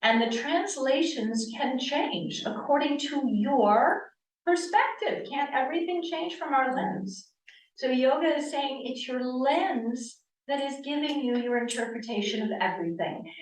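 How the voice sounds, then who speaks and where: A woman's voice: sits high, a little slow, echoey room, recorded from a distance, low-quality recording. A woman in her sixties from Connecticut, a yoga teacher giving an earnest church talk full of rhetorical questions. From Connecticut, US East Coast. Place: church hall.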